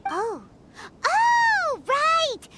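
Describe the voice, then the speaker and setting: A woman voicing a tiny, excitable, childlike fairy companion in a video game, exclaiming and chattering. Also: very high voice, drawn-out words, exaggerated voice. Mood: excited. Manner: speaks of herself in third person, exclamatory.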